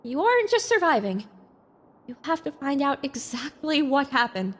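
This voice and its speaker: A woman's voice: sits high, very animated, moderate pace. A woman voicing a troubled young filly survivor, blunt and emotional, in an audio drama. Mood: somber resolve. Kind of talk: audio drama character acting.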